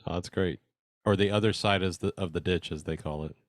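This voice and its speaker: A man's voice: deep voice, steady tone, brisk, dry recording, studio quality. A man chatting casually as he responds and asks questions at an amateur radio club meeting.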